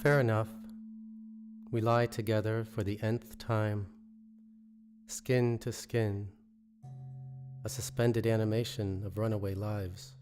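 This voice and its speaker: A man reciting his own poetry in a measured, deliberate way, pausing between phrases. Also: fairly low pitch, little pitch movement, music in the background. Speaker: poet reading own work. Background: musical score.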